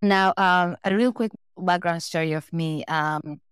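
A woman's voice: lowish voice, a little slow, smooth, dry recording, clean studio sound. A woman from Tanzania talking casually and candidly as a podcast guest, telling personal stories. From born in Tanzania, raised in several countries.

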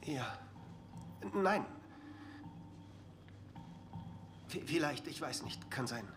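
A man's voice: medium pitch, expressive, a little slow, a bit bright, soft background music. An actor playing a nervous, evasive young man who is short of money, speaking hesitantly in a dubbed TV drama. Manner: hesitant, faltering.

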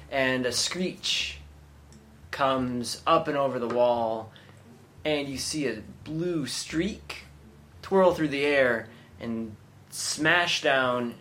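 A man narrating a tabletop game as game master, often pausing on fillers as he describes the scene. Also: medium pitch, bright, forceful tone. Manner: halting narration with many fillers.

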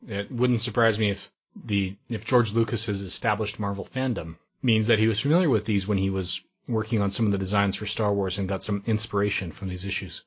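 A man co-hosting a podcast, talking casually and analytically in precisely worded, measured remarks. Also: lowish voice, fairly even intonation, slightly rough. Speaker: podcast co-host. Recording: dull, lo-fi audio.

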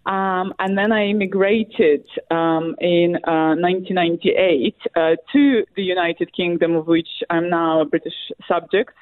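A woman from Russia with a Russian accent, a historian answering interview questions in a halting way, full of fillers and restarts. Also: on the low side, fairly even intonation, no echo. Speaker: guest, academic historian. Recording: tinny phone line.

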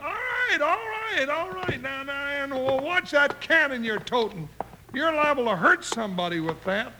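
An actor playing a big, folksy, blustering American deputy in an old-time radio western, with grumbling backwoods talk. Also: male voice, very high-pitched, sing-song, moderate pace, exaggerated voice. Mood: indignant. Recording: noisy old radio broadcast recording.

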